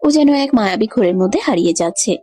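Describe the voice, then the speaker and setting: A woman's voice: medium pitch, expressive, quick pace, dark tone, husky. A woman giving scripted narration of a romantic story.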